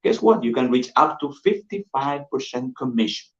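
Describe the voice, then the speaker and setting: Man with a Colombian accent pitching eagerly. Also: moderate pace. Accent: Colombian Spanish. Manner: energetic, persuasive, repeats "guys". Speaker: insurance sales manager presenting. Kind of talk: upbeat sales pitch on a call. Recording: dull roomy lo-fi audio.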